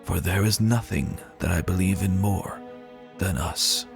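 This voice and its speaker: A lovestruck young stallion, acted out by a man speaks in a low, unhurried voice. He is earnest and tender, pausing for weight as in a romantic audio drama, with soft music underneath. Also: vocal fry. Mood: adoring, earnest. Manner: earnest, heartfelt, with dramatic pauses. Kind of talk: dramatic fan fiction reading.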